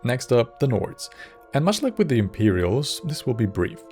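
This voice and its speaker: A man giving scripted narration for a game lore video in a measured, slightly wry way. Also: mid-range voice, big pitch swings, darkish tone, faint music behind.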